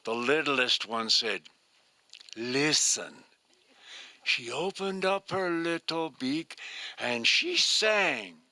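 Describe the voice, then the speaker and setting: An older man telling a story live to an audience, slowly and expressively in drawn-out phrases. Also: fairly high pitch, expressive, pushed and bright, no echo.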